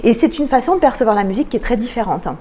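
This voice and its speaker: Higher-pitched woman explaining, pausing between phrases. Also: expressive, warm tone, a little hiss or hum. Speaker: interview guest, cultural programme manager. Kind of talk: explanatory radio interview answers.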